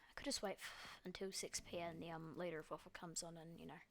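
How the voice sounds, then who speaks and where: A woman's voice: fairly low pitch, level tone, fast. A young Australian woman giving casual, rambling commentary over her gameplay, full of ums and likes and the odd swear. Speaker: gaming video creator.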